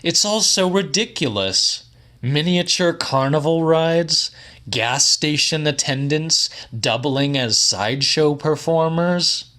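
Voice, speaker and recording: An incredulous, baffled man performed by a male narrator in a dramatic audiobook. He protests defensively, with emphatic questions, his voice lifted high above the reader's usual one and bright in tone. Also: expressive, slow pace, full character voice. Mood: scornful.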